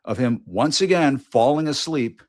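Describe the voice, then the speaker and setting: A man's voice: medium pitch, expressive, moderate pace, a little mellow. A middle-aged man hosting a political news show, talking casually and mockingly, often restarting and repeating his lines.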